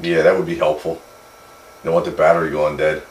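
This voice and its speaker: Very deep-voiced man on CB radio. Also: level tone, fast. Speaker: CB radio operator. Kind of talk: casual technical radio chat. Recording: echoey room, clear background noise.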